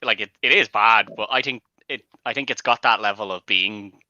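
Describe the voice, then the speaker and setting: A man's voice: on the low side, normal speed, bright, forceful tone, no room sound. A man giving casual opinions as a guest on an online panel discussion. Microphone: online group call. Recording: dull online-call audio.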